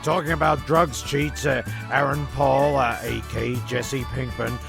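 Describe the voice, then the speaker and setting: A man's voice: mid-range voice, steady tone, measured pace, creaky. A man hosting and narrating a comedy radio play in sweary banter, often stumbling and repeating his words. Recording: music behind the voice.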